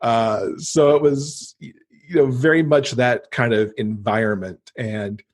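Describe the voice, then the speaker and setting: A man's voice: mid-range voice, steady tone, measured pace. A man speaking as a podcast guest in casual conversation, explaining at length with conversational asides.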